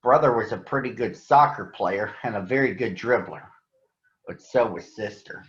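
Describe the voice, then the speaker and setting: An American man addressing children and reading a picture book aloud in a deliberate, announcer-like way. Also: fairly low pitch, fairly bright tone. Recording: echoey room, slightly dull sound.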